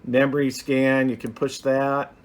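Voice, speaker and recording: A man giving a casual, unscripted hands-on gear review as a hobbyist, halting and restarting his phrases as he goes. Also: mid-range voice, little pitch movement.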